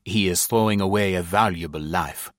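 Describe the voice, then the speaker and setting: A man's voice: low voice, no echo, studio quality, lightly acted. A man doing a bitter young male spy. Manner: bitter and measured.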